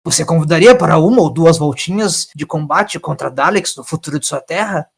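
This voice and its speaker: A man voicing a furious old alien time traveler in an audio story, scolding with sarcastic, forceful questions. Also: fairly high pitch, fairly quick, vocal fry, husky, pitched above the performer's own voice. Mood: accusing, indignant.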